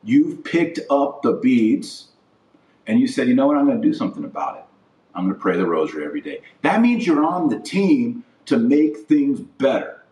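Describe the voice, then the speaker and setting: A man's voice: mid-range voice, big pitch swings, moderate pace, darkish tone. A man hosting a religious podcast, delivering an impassioned opinion monologue in a blunt, emphatic, repetitive way. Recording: roomy echo, distant from mic.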